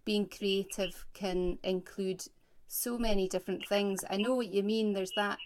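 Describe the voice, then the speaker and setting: A woman conducts an interview by phone, her pitch sitting in a middle range. She speaks gently, with plenty of hesitations and restarts. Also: fairly even intonation, a little slow, clean tone. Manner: gentle, hesitant, many fillers. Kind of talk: oral history interview.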